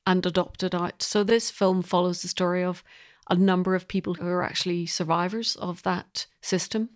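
Low, even-voiced Belfast woman. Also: normal speed. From Belfast, Northern Ireland. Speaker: interview guest, composer. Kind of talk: reflective interview conversation.

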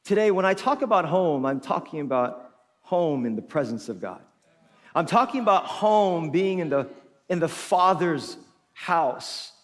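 A man in his forties, a pastor who grew up speaking Tagalog, preaching an animated, humorous sermon told as a personal story. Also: on the high side, measured pace. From Philippines. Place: church auditorium. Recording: long hall reverb.

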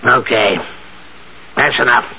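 A crusty old millionaire in a radio play. The voice is raspy and slow, brusque and full of doubt, on a muffled vintage recording. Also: male voice, mid-range voice, fairly even intonation, full character voice. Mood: dismissive. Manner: brusque, dismissive. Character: gruff, skeptical rich old man of seventy-nine. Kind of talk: 1970s radio drama acting.

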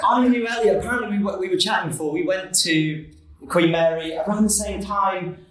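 A young man telling funny, self-deprecating stories about his life to an audience. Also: sits high. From England, Manchester area. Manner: self-deprecating, joking, quick asides. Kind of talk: comedic personal storytelling. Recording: echoey hall from far off.

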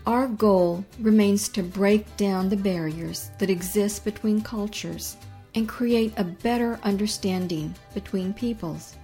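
Middle-aged woman host reading her intro, measured and welcoming, soft music under it. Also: medium pitch. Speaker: podcast host and interviewer. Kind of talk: scripted intro then interview questions.

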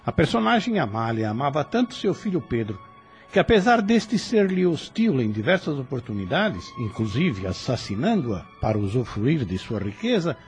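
A man's voice: medium pitch, darkish tone. A man giving earnest, reflective spiritual commentary after a radio drama. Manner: earnest, explanatory.

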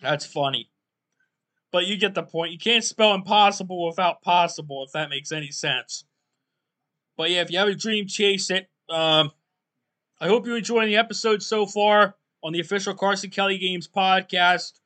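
A man hosting his own podcast in a casual, rambling solo talk, his voice going hoarse and often clearing his throat. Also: high-pitched, normal speed, bright, forceful tone. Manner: rambling, loose and self-deprecating, many fillers. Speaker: podcast host.

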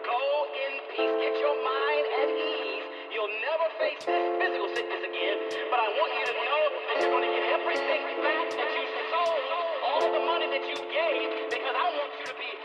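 A man's voice: extremely high pitch, normal speed, few pauses. A man preaching a faith-healing sermon in a fervent, promising way. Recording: echoey distant lo-fi hall recording. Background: loud music.

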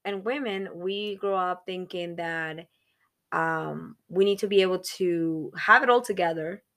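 Thirtysomething woman on a podcast, low-ish voice, earnest, kinda rambly. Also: unhurried, a bit bright. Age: 30s. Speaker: podcast co-host. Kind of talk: casual chat.

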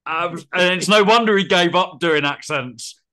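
A man with a bright, forceful voice, steadily sharing film trivia in a relaxed podcast chat. His pitch sits a little high, and he lays out dates and credits in plain, matter-of-fact turns. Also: normal speed. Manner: informative, reels off film trivia. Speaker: podcast co-host. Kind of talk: casual film discussion.

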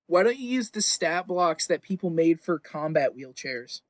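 A man co-hosting a podcast in casual, excitable, joking chat. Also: on the high side, normal speed, no room sound, clean studio sound. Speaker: podcast co-host.

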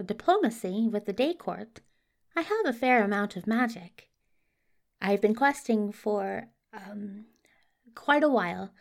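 A woman's voice: mid-range voice, darkish tone, natural voice. A woman playing a prim, politely self-assured male questing hero in a podfic drama, speaking formally and exactly. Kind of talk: podfic audio drama acting.

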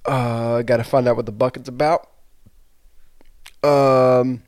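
A man's voice: medium pitch, flat delivery, slow pace, a little mellow, no room sound, studio quality. A man hosting a podcast in loose, rambling casual chat, sounding a bit congested from allergies. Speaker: podcast host.

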